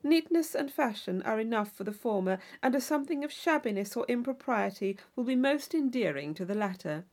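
A woman reading an audiobook of classic fiction as an expressive, formal storyteller. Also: on the high side, a little fast, hardly any pauses. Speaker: audiobook reader. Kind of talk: scripted literary narration.

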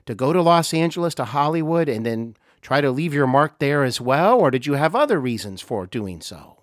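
A man hosting a podcast interview, asking long, halting questions full of stammers and fillers. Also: mid-range voice, sing-song, dry recording, studio quality. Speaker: podcast host.

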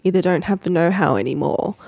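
A woman's voice: lowish voice, brisk, vocal fry, darkish tone. A woman co-hosting a casual podcast, speaking with emphatic enthusiasm and articulate, descriptive phrasing. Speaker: podcast co-host.

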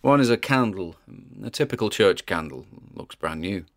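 Low-voiced British paranormal investigator played by an actor. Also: male voice, animated, moderate pace. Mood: uneasy, curious. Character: wary, chatty male paranormal investigator recording his exploration. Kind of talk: audio drama, podcast-style narrated exploration.

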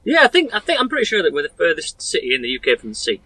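A man's voice: on the high side, huge pitch swings, rapid-fire, bright, forceful tone, no echo. A man in his thirties from Sheffield with a Yorkshire accent, a guest in a casual chat, rambling and self-deprecating, often restarting his sentences. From Sheffield, England. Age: 30s.

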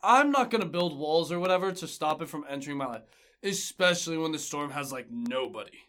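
Woman doing a bright, clueless slacker-bro finch. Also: sits low, animated, fairly quick. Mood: carefree. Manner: slangy, exuberant bro-speak. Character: carefree, clueless slacker bullfinch, surfer-dude talk. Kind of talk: comic audio drama acting.

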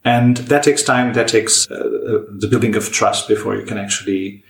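Guest linguist, male, measured and deliberate, roomy sound. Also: fairly low pitch, fairly even intonation, creaky. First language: Portuguese. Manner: measured, thoughtful, frequent restarts. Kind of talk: podcast discussion. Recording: roomy echo.

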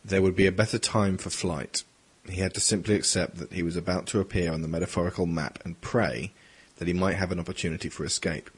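A man with a husky voice reading dramatic scripted narration for an audio drama. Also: deep voice, fairly even intonation, fast, hardly any pauses.

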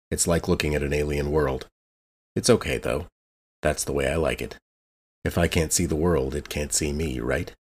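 An extremely deep, husky male voice as a man acts out a haunted, suspicious recluse. He narrates his private thoughts like a noir drama, brooding in short, clipped phrases. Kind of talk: first-person noir monologue, scripted.

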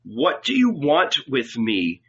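Man voicing a calm, clear-edged spiritual master. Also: mid-range voice, muffled recording, full character voice. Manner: calm, measured, authoritative.

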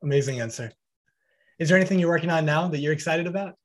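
A man hosts a podcast interview in a slightly higher than average voice. He is encouraging and upbeat, praising his guest's answers and inviting listeners to reach out. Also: fast. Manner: encouraging, wraps up with upbeat promo talk. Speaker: podcast host.

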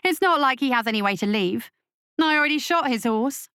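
A cruel female witch who leads a gang, played by a woman narrator in a fantasy audiobook. Her voice is pitched high and dry, thundering and sneering, with mocking menace in the way she taunts. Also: expressive, brisk, fairly bright tone, clear, resonant tone, dry recording. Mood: mockingly sweet, menacing.

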